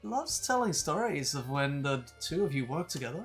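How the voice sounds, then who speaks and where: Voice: medium pitch, sing-song, a little fast, faint music behind. A streamer with a Danish accent voicing a polite, eager young woman who is new to an island, in an everyday voice.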